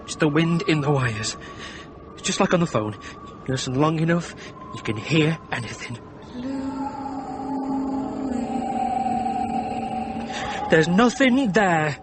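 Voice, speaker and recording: A man's voice: a little high, sing-song, moderate pace, noisy background. An actor playing an irritable, stubborn, panicky suit-and-tie man stranded in snow, testy and sarcastic, in a radio horror drama. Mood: dismissive, uneasy.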